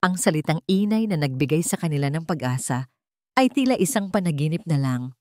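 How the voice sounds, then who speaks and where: A woman's voice: low-pitched, animated, fairly quick, a little mellow, no room sound, studio quality. A woman with a Filipino accent narrating a dramatic scripted story.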